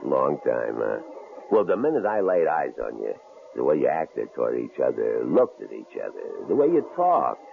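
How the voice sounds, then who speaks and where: A cold, taunting tough guy, with a deep, gravelly voice that swoops up and down as he leers. The sound comes through a thin, tinny old radio broadcast with faint hiss. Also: wildly swooping pitch, moderate pace, exaggerated voice. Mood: menacing. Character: menacing tough male intruder, cold-blooded bully. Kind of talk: 1940s radio acting.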